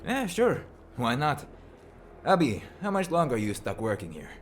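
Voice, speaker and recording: Sarcastic laborer with swooping pitch. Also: male voice, huge pitch swings, normal speed, slight background noise. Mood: wry, defiant. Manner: sardonic, grumbling. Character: sarcastic, defiant male indentured laborer. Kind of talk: science fiction audio drama acting.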